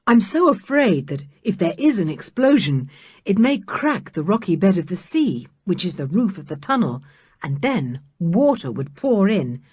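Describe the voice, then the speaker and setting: A woman reading aloud, voicing a worried older male scientist in a storybook with a slightly lowered voice. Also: very animated, moderate pace, darkish tone, slightly rough, no echo, studio quality. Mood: anxious.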